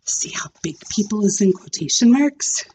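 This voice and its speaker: A middle-aged woman teacher reading a children's book aloud and pausing to explain in a deliberate way. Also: medium pitch, lively intonation, warm tone. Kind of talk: expressive children's read-aloud with teaching asides. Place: small carpeted room at home. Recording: clearly noisy home recording with clicks.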